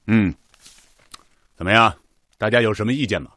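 An actor playing a measured, authoritative official in a radio drama, chairing a technical meeting with unhurried, probing questions. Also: male voice, on the low side, pushed and bright, no room sound, clean studio sound.

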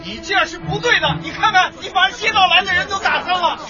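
An actor in a radio drama playing an officious, scolding deputy director who insists on the rules. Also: male voice, extremely high pitch, fast talker, over loud music. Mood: exasperated. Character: exasperated, officious deputy director of urban management. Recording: muffled, outdoor crowd and traffic noise.

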